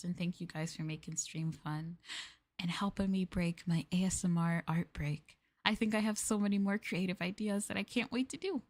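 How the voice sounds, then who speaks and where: Brief: young American woman, close-mic ASMR maid host, gentle, mid-pitched voice. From United States. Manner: soft-spoken, calls listeners master, speaks of herself as the maid. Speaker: streamer. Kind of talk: ASMR maid roleplay stream chat. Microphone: close ASMR microphone.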